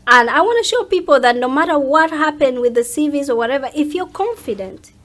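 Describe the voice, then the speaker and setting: A woman's voice: high voice, lively intonation, fairly quick, a bit bright. A woman with a Ugandan accent, talking in an outspoken, emphatic way as a guest in a casual interview. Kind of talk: animated casual interview talk.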